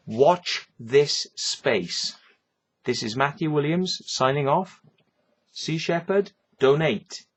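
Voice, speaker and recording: A man's voice: mid-range voice, breathy edge, dull audio. A man with a Welsh accent delivering a rambling, swearing rant, tagging lines with "yeah" and "right". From Wales. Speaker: vlogger. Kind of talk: ranting monologue to camera.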